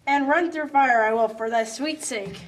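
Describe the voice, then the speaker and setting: A woman's voice: sits high, normal speed, soft background music, natural voice. A woman playing an ardent young male lover in an amateur puppet play, declaiming Shakespeare earnestly.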